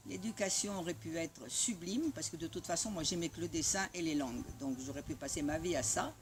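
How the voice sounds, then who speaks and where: A woman's voice: lowish voice, noisy background. Woman, Italian first language, wry and spirited storyteller, personal sharing. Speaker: spiritual community member sharing a testimony. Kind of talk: personal storytelling after a morning talk.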